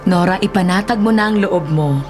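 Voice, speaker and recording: A woman playing a fair-minded village chief in a radio drama, reassuring, firm and measured. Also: lowish voice, music in the background, lightly acted. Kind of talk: Filipino radio drama acting.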